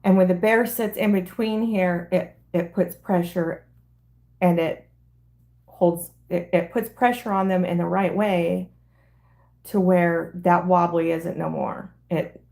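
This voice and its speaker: A middle-aged woman with a slightly hoarse voice, hosting a live stream and chatting her way through a craft demonstration step by step. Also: lowish voice, fairly even intonation, takes it slowly, mellow. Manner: chatty, rambling, talks through each step.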